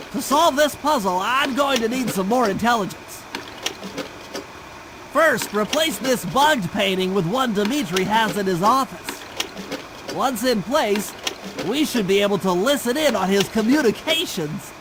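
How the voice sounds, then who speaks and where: A man's voice: very high-pitched, noisy background, heavily acted. A man voicing a nerdy, cautious young turtle mastermind in a video game, nasal, speaking in precise, wordy, scholarly briefings. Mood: calculating, earnest. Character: nerdy, cautious male turtle brainiac, young gadget-minded strategist. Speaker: mission planner and guide. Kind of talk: video game cutscene and tutorial voice acting.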